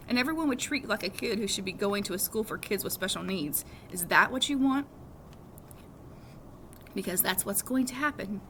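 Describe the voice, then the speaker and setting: Woman voicing an emphatic, high-pitched teenage big sister. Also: fairly bright tone. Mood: exasperated. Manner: emphatic, persuasive, exasperated. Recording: clearly audible background noise.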